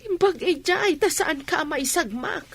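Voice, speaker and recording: A woman's voice: high-pitched, lively intonation, a little slow, vocal fry, exaggerated voice. A woman with an Ilocano accent acting a reproachful, preachy village mother in a radio drama. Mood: stern. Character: scolding, moralizing village mother of a pregnant daughter.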